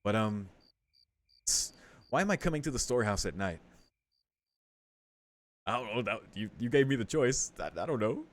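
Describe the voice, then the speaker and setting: A man streaming a game, casually commenting and reading text aloud, thinking out loud as he goes. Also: mid-range voice, animated, normal speed, pauses often. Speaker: let's play streamer. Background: game music.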